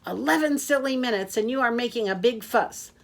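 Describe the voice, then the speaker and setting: A woman voicing a chatty male yak taxi driver who rambles and complains without pause. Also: vocal fry. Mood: chattering. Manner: rambling, complaining, fast and high.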